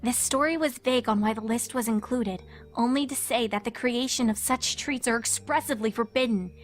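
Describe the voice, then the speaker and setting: A woman plays a studious young unicorn mare from a cartoon fan story. Her voice sits fairly high and she explains things earnestly, serious with an uneasy edge. Faint music runs under the voice. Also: a little fast, natural voice. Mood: bookish, grave. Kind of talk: dramatised fan fiction reading.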